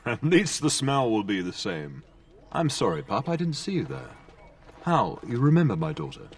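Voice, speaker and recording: A man's voice: mid-range voice, big pitch swings, moderate pace, far from the mic. An actor playing a commanding yet fond middle-aged noble lord and father in a video game, speaking formally. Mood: warm, jovial. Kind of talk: video game dialogue acting.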